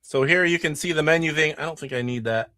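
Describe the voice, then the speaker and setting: A man's voice: a little high, fast, pushed and bright, no echo. A middle-aged man hosting a casual live stream about retro games, sick and nasally with his voice starting to go. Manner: rambling, demonstrating games live. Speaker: retro gaming show host.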